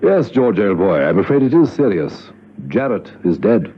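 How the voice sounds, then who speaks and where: A haughty older British spy chief for a radio play. His low voice swoops with dry sarcasm and old-boy pomposity. The recording is dull, with clear hiss behind it. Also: big pitch swings, moderate pace. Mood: grave, pressing. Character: pompous, caustic older male spymaster, sherry-sipping. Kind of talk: classic spy radio drama acting.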